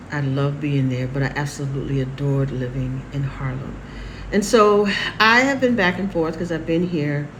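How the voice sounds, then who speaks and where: Low-voiced woman host, chatty and reflective. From New York City and Houston. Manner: warm, repetitive, emphatic phrasing with laughs. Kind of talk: casual reflective monologue. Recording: noisy room with air conditioning hum.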